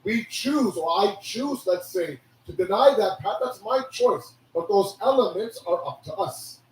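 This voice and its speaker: A hoarse man giving a sermon, preaching with animated rhetorical questions. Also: sits high, a little slow. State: hoarse, losing his voice. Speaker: religious speaker. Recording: echoey hall from a distance.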